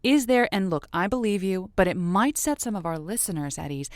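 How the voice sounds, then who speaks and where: A woman's voice: medium pitch, lively intonation, brisk, no room sound, studio quality, close to an everyday voice. A woman playing a wry radio matchmaker who hosts a comedy call-in show in a conversational way with dramatic emphasis. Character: quick, wry female radio matchmaker host. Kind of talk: comedic radio host acting.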